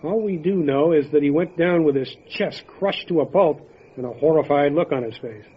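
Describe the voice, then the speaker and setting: Actor as a higher-voiced, grave mountain sheriff. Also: male voice, moderate pace, husky. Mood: grave warning. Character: steady, wary male mountain sheriff. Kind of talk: old-time radio horror acting. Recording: muffled, faintly noisy lo-fi radio recording.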